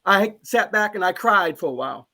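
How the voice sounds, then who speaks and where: A man's voice: sits high, expressive, moderate pace, sharp tone. A man speaking as a panelist in a live discussion, earnest and emphatic, often repeating a phrase as he builds toward his point.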